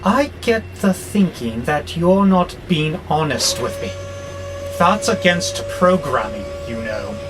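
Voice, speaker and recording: An exasperated rebel freight robot acted by a man, grumbling with theatrical sarcasm in a highish male voice. The delivery is slowish and swings widely in pitch. A clear background hum runs behind this audio drama performance. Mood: scheming, indignant. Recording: clear steady background noise, vehicle-like hum.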